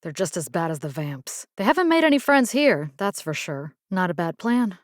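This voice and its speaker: A woman's voice: fairly low pitch, sing-song, normal speed, no room sound, clean studio sound. An actress voicing a resolute, caring, plain-spoken young woman in a video game, earnest and conversational with a wry edge. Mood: wry, defiant. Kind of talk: acted video game character dialogue.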